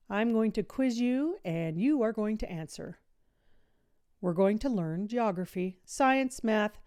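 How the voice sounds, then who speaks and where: A woman's voice: medium pitch, darkish tone, dry recording, studio quality, voice pushed up, lightly acted. Woman acting emphatic, pushy woman coach. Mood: excited, driving. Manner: emphatic, driving, demanding. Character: energetic, pushy woman coach, excited and no-nonsense. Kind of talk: children's audiobook character voice.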